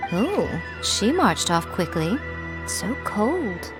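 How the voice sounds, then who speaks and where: A woman's voice: medium pitch, big pitch swings, very slow, low-quality recording. An actress playing an elegant, sly woman of authority in an anime game, coy and teasing. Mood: coaxing, sly. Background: game music.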